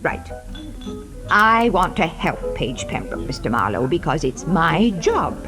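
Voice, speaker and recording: A woman's voice: deep voice, very animated, full character voice. An actress playing a fussy, imperious, hard-drinking society aunt in her fifties in a 1940s radio drama. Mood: brisk, businesslike. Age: 50s. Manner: brisk, imperious, fussy, flustered asides. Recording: vintage 1940s radio broadcast, music behind.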